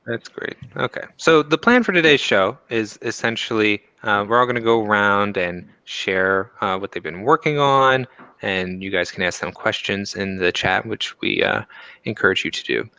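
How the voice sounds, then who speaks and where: A man hosting a casual live stream with interns, relaxed and chatty. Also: on the low side, moderate pace, gravelly, clean tone, dry recording. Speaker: host.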